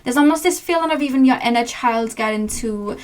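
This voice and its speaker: A woman's voice: fairly high pitch, brisk. A young woman giving a channeled tarot reading in a meandering way, searching for words with many fillers. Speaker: tarot reader.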